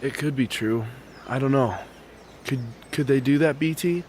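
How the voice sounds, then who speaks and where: A man's voice: medium pitch, moderate pace, a little mellow, lightly acted. A man playing an intense, haunted young fighter in an amateur radio drama, urgent in delivery. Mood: shaken. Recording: noisy, outdoor bird ambience.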